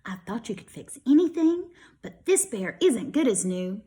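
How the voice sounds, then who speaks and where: A woman's voice: fairly high pitch, big pitch swings, normal speed, mellow. A woman voicing an earnest, worried young child from a storybook, in a pleading, questioning manner. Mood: insistent.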